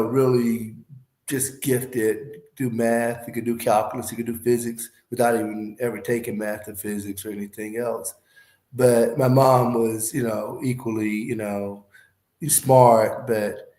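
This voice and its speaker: Brief: Southern man in his fifties, interview guest, easygoing and filler-heavy, mid pitch, roomy echo. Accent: Southern US with Midwestern speech. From Alabama, USA. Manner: relaxed, frequent "you know" fillers. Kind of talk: casual interview talk.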